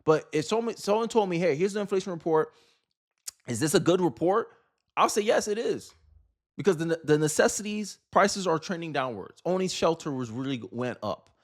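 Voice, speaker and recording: A man's voice: on the high side, expressive, brisk. A man hosting a commentary show, talking casually and with opinions, repeating and rephrasing his points for emphasis. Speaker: host of a political commentary show.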